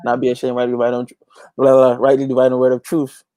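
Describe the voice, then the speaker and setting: A man's voice: mid-range voice, monotone, very fast, warm tone, clean tone, no room sound. Man chatting, very dry sound. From Guyana. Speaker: interview guest, gospel reggae singer and youth pastor. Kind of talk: casual interview chat.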